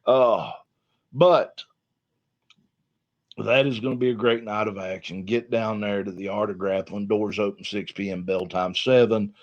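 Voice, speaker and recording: A man's voice: lowish voice, fairly even intonation, a little slow. A man co-hosting a casual wrestling talk show, folksy and drawn out in his delivery. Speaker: podcast co-host. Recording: dull lo-fi sound.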